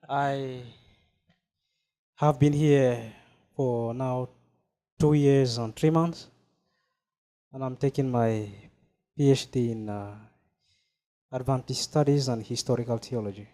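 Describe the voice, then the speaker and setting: A man from Cameroon, a doctoral student, introducing himself on a studio panel in a measured way. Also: medium pitch, warm tone. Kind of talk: self-introduction.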